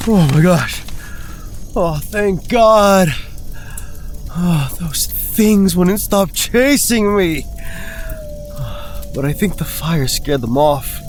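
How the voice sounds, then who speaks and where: A woman's voice: on the low side, expressive, drawn-out words, long pauses, darkish tone, slight background noise. A woman playing a frazzled, self-absorbed young video creator in an audio drama, talking dramatically in breezy modern slang. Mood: panicky relief. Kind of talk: horror comedy audio drama.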